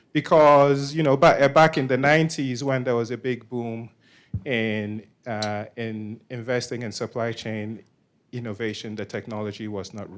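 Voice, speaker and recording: A man from Nigeria presents informally as the host of a meetup. His delivery is halting, and he often repeats words and restarts phrases as he explains. His voice sits at a medium pitch. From Nigeria, West Africa.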